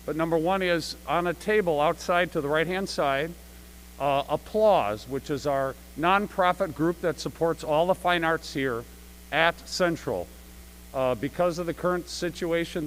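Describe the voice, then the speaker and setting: A man's voice: a little high, low-quality recording. A man speaking as a theater director, giving a conversational, rambling live welcome speech to an audience with emphatic repetition. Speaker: theater director and producer introducing a show. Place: school gym stage, live-streamed. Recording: live gym event with faint hum.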